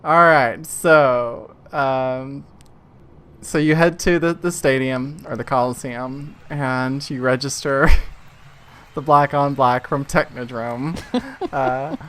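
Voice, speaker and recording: A man narrating an improvised tabletop game as game master, in a halting, conversational way. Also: medium pitch, steady tone, a bit bright, clear, resonant tone.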